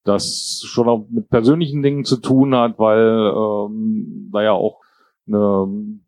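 A man's voice: fairly low pitch, little pitch movement, slow, a little mellow, no room sound. A man in his fifties, raised bilingual in German and English, talking reflectively and hesitantly as an interview guest. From born in Jordan, grew up in England and Germany. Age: 50s. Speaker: interview guest, writer and political columnist. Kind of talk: casual, reflective interview conversation.

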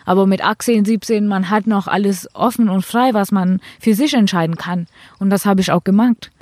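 A young woman with an Indian accent speaks in hesitant, halting German, pausing on fillers as she answers. Her voice sits at a middle pitch. It is recorded close and dry, with no room sound. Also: darkish tone, dry recording. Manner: hesitant, simple non-native German with fillers. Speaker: cricket player, guest. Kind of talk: casual interview answers.

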